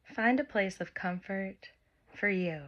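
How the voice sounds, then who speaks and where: A woman leading a guided meditation, speaking gentle instructions in short phrases with long pauses between them. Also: medium pitch, lo-fi sound. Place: by the open air with wind and birds.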